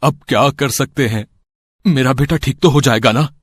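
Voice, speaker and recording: Guy doing a shopkeeper dad in a moral story, plain earnest voice, mid pitch. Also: normal speed, husky, close to an everyday voice. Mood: anxious. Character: greedy shopkeeper turned anxious, pleading father. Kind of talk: amateur moral-story character acting.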